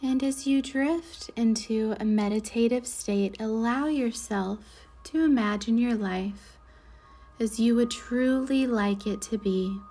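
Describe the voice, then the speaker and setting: A woman guides a meditation in a fairly high, mellow voice. She speaks slowly and soothingly, letting her phrases trail off softly and breathily. The delivery is measured narration for relaxation. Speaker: guided meditation leader, Reiki instructor.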